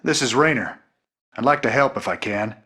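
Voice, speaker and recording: A man playing a gruff, loyal human space captain in a video game, plain-spoken and informal. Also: mid-range voice, normal speed, pushed and bright. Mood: determined.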